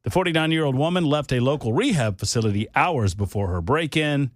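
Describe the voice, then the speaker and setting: A man's voice: medium pitch, animated, fairly quick, slight background noise. A man hosting a talk radio show, reading silly news stories and making mocking asides.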